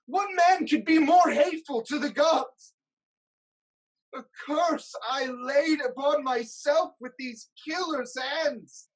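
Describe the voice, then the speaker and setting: A performer playing a proud, anguished king haunted by prophecy, in a grave, deliberate dramatic reading of Greek tragedy. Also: high voice, husky, rough recording. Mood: anguished, horrified.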